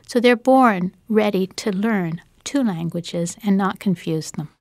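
A woman, a developmental psychologist, explaining her research in an interview in a measured way. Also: mid-range voice, darkish tone, dry recording. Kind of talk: interview answers.

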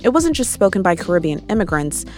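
An American woman hosting a podcast, narrating in a calm, clear way. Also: medium pitch, quick pace, faint music behind. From United States. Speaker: podcast host. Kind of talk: scripted narration.

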